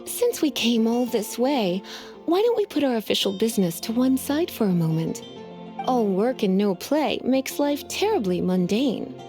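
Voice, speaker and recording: Teasing, silky witch librarian, lively pitch. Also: normal speed. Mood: coy, breezy. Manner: coy, drawling, sighing. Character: teasing, languid young witch librarian with veiled menace. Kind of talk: anime game voice acting. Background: soft game music.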